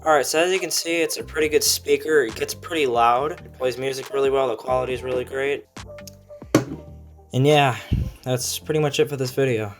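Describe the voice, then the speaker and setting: A man's voice: mid-range voice, steady tone, brisk, over loud music. A man giving a casual, informal hands-on product review, explaining features as he goes. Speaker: gadget reviewer.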